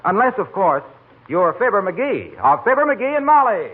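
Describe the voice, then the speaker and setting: A man with an American accent announcing from a script in polished 1940s radio pitchman style. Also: sing-song, moderate pace, slight background noise. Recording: tinny old-time radio recording.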